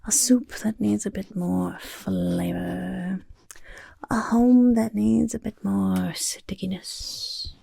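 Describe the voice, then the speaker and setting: Casting: female performer for a chaotic goblin overlord, ASMR roleplay; gravelly, breathy whisper, unhurried, self-important and fussy, very close and dry. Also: mid-range voice, expressive, dark tone, dry recording, exaggerated voice. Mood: soothing, matter-of-fact. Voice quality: breathy, croaky, raspy whisper. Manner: refers to self in third person, repeats words, fussing. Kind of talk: soft-spoken ASMR personal attention roleplay. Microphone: close, dry ASMR microphone.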